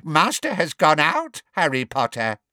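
A man's voice: fairly high pitch, huge pitch swings, unhurried, pushed and bright, dry recording, clean studio sound, pitched above the performer's own voice, full character voice. A man voicing a wheezy, gleeful old male house elf who talks about himself slyly. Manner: cackling, sly, wheezy.

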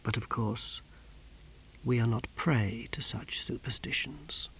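Sinister male inquisitor, played by a narrator: low-volume, slow, measured, icily polite and pious storybook villain. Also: vocal fry, poor audio. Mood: quiet menace. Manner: hushed, measured, menacingly courteous.

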